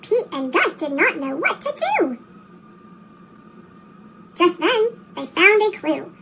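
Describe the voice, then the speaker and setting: A storyteller with a pitched-up chipmunk effect, reading a children's story in an animated, expressive way for young listeners. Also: very noisy. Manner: animated, expressive, slow read-aloud delivery for young children. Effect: chipmunk-style pitched-up voice. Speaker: storybook reader. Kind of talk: scripted children's storybook narration.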